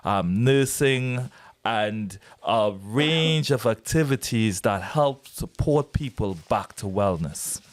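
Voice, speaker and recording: A man's voice: lowish voice, expressive, slow pace. A man from Trinidad and Tobago with a Trinidadian accent, a mental health nurse guest explaining his work earnestly in a radio interview, often restarting his phrases.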